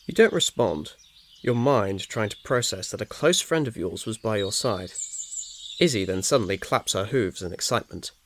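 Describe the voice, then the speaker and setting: Low-voiced man narrating a story. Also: lively intonation, normal speed. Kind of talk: scripted second-person ASMR story narration. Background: birdsong, crickets and faint noise.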